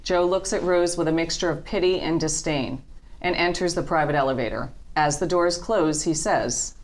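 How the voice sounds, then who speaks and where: Casting: female narrator with a deep register, deliberate and matter-of-fact, for scripted scene descriptions. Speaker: narrator reading stage directions.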